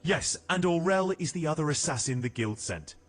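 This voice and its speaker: A man's voice: a little high, expressive, normal speed, soft background music, lightly acted. A man playing a wry, self-preserving guild assassin in a fantasy audio drama. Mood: urgent, bitter.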